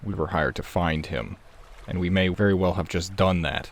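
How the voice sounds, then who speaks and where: A man's voice: low voice, moderate pace. A man playing a tabletop horror game, casually describing his character's moves and speaking in character.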